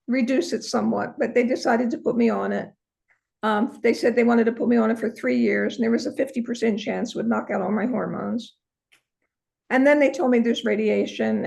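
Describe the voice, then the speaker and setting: An older woman with a hoarse voice, telling her personal story as an interview guest. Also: a little high, a little mellow, rough recording. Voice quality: hoarse, from a vocal cord injury. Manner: earnest storytelling, many ums. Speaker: interview guest, holistic practitioner.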